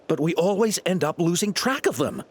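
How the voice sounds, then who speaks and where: A man's voice: high voice, animated, a little fast. A man voicing a nervous, cowardly, eager-to-please henchman in a video game, hesitant and stammering. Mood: nervous, explaining.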